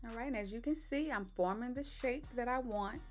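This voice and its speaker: A woman with a slightly raspy voice doing a relaxed step-by-step voice-over for her makeup tutorial. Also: fairly high pitch, fairly quick, faint music behind. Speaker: beauty vlogger. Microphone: voice-over mic.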